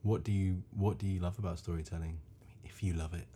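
Very deep, dark, husky male host. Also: fairly even intonation, a little fast, slight background noise. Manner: loose, chatty, swears casually. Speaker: podcast host. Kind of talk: casual podcast chat.

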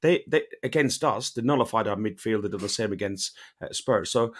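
A man with a slightly hoarse, lowish voice talks football in a casual fan chat. He jumps in eagerly with stammered restarts. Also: fairly quick. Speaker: fan panel guest.

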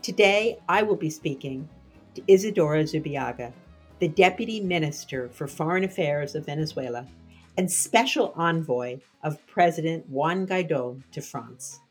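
A woman's voice: low-pitched, expressive, moderate pace, husky, faint music behind. A woman hosting a podcast, delivering a scripted introduction. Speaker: podcast host.

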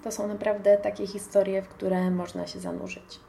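A woman's voice: medium pitch, level tone, moderate pace, gravelly, warm tone, a little hiss or hum. A woman presenting a radio feature as host, speaking enthusiastically and addressing her listeners directly.

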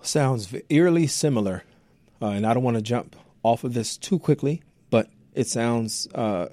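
A man co-hosting a casual political podcast, speaking haltingly and repeating words as he gathers his thoughts. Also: medium pitch, mellow, no echo. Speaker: podcast co-host.

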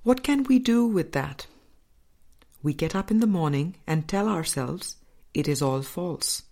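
A woman reading a spiritual book as scripted narration, in a measured, deliberate manner with frequent pauses. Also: on the low side, expressive, dark tone. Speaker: audiobook reader.

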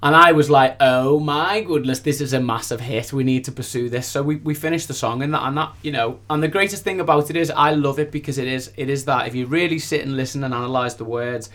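A man's voice: medium pitch, fast, hardly any pauses, fairly bright tone. A man speaking as a singer-songwriter guest in a casual interview, effusive and rambling, often repeating his phrases.

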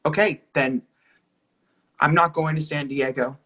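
A lovestruck young partner in a stage drama, read by an actor, with a mid-pitched voice. The delivery is emphatic and imploring, hurt and insistent, as in a script-in-hand play reading. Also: fairly even intonation, unhurried, fairly bright tone. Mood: heartbroken. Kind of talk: readers theatre dialogue reading.

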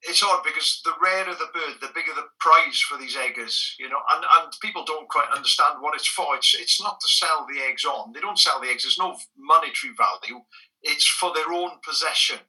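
Frank Welsh man, bright, off-mic. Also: fairly high pitch, a little fast, recorded from a distance, poor audio. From Wales. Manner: frank, animated storyteller with frequent restarts. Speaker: guest, former bird protection officer. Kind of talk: conversational anecdote.

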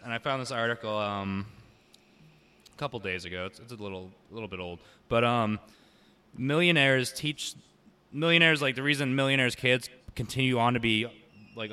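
A young man with a loud voice, rambling and swearing through crude casual banter. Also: medium pitch, clear, resonant tone. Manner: rambling, swears a lot, says "like" often.

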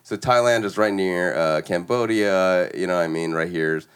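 A man's voice: deep voice, normal speed, a bit bright, clear, resonant tone. A young American man in casual chat, laid-back and slangy, often saying "man" and "you know what I mean". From United States.

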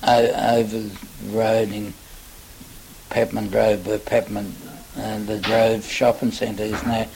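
An elderly man with an Australian accent recalls the past in a halting, rambling manner. His voice is fairly low and very even in pitch, with slow phrasing. There is a faint hiss on the recording. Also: creaky, rough recording. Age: older, 70s or above. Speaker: interviewee recalling local history. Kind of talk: oral history reminiscence.

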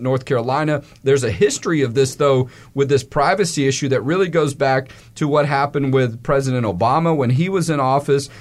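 A man hosting a studio talk show, speaking in a friendly, chatty, folksy way into a studio mic. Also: on the high side. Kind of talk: casual studio interview. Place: radio studio.

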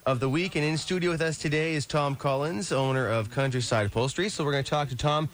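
A man hosting a casual radio real estate show in studio, friendly and halting, a bit hoarse from losing his voice. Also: on the high side. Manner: friendly, many fillers and restarts.

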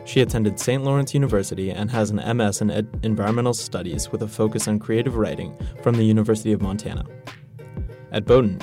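Fast, gravelly young man reading an introduction. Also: lowish voice, darkish tone. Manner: formal, listing credentials. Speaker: student interviewer, co-host.

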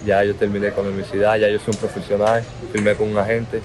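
A young Dominican man with a low, level voice gives a reporter his answers. He talks haltingly, circling back over his own words, and his tone hardly rises or falls. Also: flat delivery, a crowd in the background. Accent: Dominican Spanish. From Dominican Republic. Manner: slow, halting, repeats words. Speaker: basketball player interviewed. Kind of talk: outdoor interview.

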